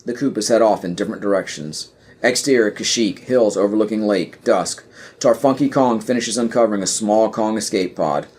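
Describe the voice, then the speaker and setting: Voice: medium pitch, normal speed, a little mellow. A podcast narrator reading scripted screenplay stage directions.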